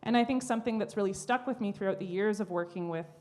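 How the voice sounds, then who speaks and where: A woman at a live library panel, speaking as a guest artist. Her voice sits at a medium pitch. She talks in a thoughtful way, often pausing to search for the right words. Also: a little mellow. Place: public library event room.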